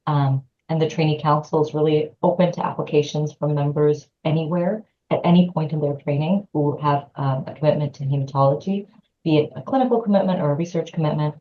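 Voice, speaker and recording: A woman's voice: sits low, steady tone, a little fast, lo-fi sound. A woman from Lebanon, an assistant professor answering interview questions thoughtfully, with hesitant fillers.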